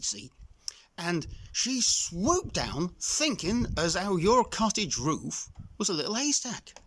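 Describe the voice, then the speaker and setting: A man's voice: a little high, fairly bright tone, dry recording, heavily acted. A man voicing a kindly, chatty old crow in a children's story, with a folksy, rambling manner that repeats itself.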